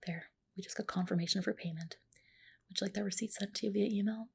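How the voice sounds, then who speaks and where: A woman with a soft, breathy, whispering voice doing a gentle, reassuring ASMR roleplay as a spa practitioner, close to the microphone. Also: mid-range voice, steady tone, quick pace. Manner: gentle, reassuring client-care talk. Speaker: ASMR creator playing a medical spa practitioner. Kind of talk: ASMR roleplay, personal attention.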